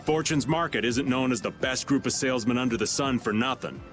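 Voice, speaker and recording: A man's voice: medium pitch, brisk, a bit bright, soft background music, natural voice. A man playing a wry, worldly adventurer in a video game. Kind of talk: video game dub acting.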